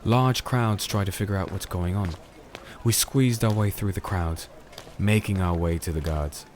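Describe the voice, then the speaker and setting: A man playing a calm, firm crusader in a fantasy audio drama, narrating and speaking in a measured, solemn way. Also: deep voice, a little hiss or hum, natural voice. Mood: solemn narration. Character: disenchanted male crusader, calm, firm sword-bearing investigator. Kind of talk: audio drama dialogue and first-person narration.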